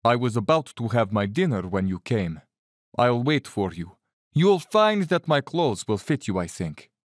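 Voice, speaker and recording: Smooth courtly cossack general for a radio play, lowish voice, super animated, quietly menacing, dry studio sound. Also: male voice, measured pace, dry recording. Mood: courteous, hospitable. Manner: courtly, leisurely, self-satisfied. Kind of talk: audio drama acting.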